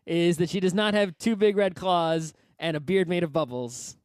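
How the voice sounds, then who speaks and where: A man's voice: sits high, steady tone, normal speed, clean tone, dry recording, studio quality. A man chatting casually and enthusiastically as a podcast co-host, emphatic and often repeating his phrases. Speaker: podcast co-host reviewing a webcomic. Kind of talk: casual chat.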